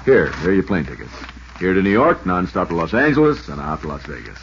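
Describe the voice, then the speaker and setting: Brisk 1950s radio insurance boss, American, brusque, animated delivery, dull old recording. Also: male voice, deep voice, a little hiss or hum. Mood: brisk, insistent. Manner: brusque, hurried, businesslike. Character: brisk, bossy male insurance bureau agent. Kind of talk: 1950s radio drama acting.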